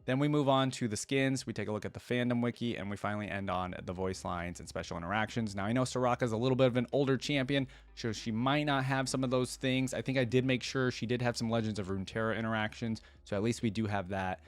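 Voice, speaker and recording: A man's voice: mid-range voice, fairly even intonation, quick pace, hardly any pauses, no room sound. A man hosting a reaction video, switching between informal commentary and reading text aloud. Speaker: reaction channel host.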